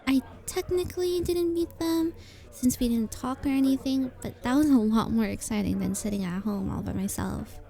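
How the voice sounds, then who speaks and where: Shy young female cafe regular, played by a woman for ASMR roleplay: gentle, earnest, hesitant, higher-pitched with a warm, relaxed tone. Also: normal speed, gravelly. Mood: earnest, chatty. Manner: shy, hesitant, softly earnest, sometimes dropping to a whisper. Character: very shy, sweet young female coffee shop regular who writes.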